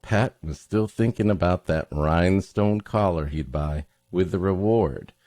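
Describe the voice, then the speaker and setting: A man's voice: sits low, measured pace, mellow, no echo, clean studio sound. A man hosting a comedy podcast, reading an old story aloud and breaking off for playful, joking banter.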